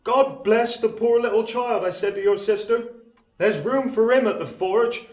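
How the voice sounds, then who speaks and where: A man playing a gentle, slow-witted, uneducated country blacksmith with halting rustic speech in a radio play. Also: sits high, reverberant, distant mic, lightly acted. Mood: fond, reminiscing.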